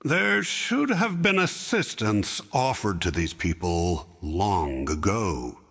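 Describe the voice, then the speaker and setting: An actor playing a young king, formal and measured. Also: lowish voice, very animated, gravelly, fairly bright tone, breathy edge, long reverb tail, exaggerated voice. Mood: respectful.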